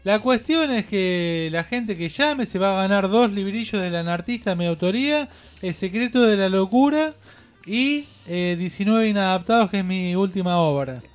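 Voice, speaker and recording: A man with a very high voice speaks in Rioplatense Spanish accent. He hosts casually, in loose radio chat. Light music plays behind him. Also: lively intonation, normal speed. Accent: Rioplatense Argentine Spanish. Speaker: radio show host.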